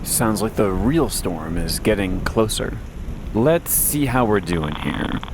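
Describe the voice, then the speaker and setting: A man playing a wry, anxious post-apocalyptic radio host in an audio drama, rambling casually with deadpan, self-deprecating humor. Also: fairly low pitch, takes it slowly, background noise. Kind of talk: conversational audio drama monologue, answering listener messages.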